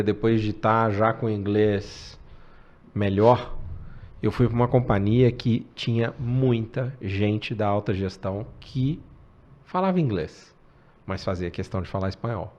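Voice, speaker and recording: Guest, male, 40s, relaxed chatty delivery, dull lo-fi podcast sound. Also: on the low side, unhurried. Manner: relaxed, says cara and né often. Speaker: podcast guest, tech executive. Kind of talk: casual podcast conversation.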